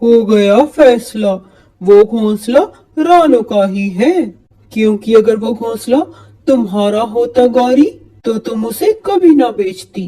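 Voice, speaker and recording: A woman voicing a male owl king who judges the birds in a moral-story cartoon, measured and commanding. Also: on the high side, animated, dark tone. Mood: stern.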